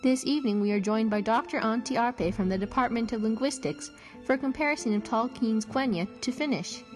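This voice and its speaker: A woman's voice: a little high, brisk, a little mellow, soft background music, rough recording. A woman hosting a podcast, reading a short scripted introduction of a guest in an upbeat manner.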